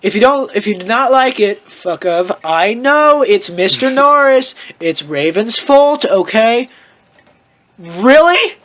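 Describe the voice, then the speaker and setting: A man's voice: very high-pitched, expressive, slow pace, a bit bright. A man hosting a comedic read-aloud of fan fiction, with theatrical, mocking asides and casual commentary.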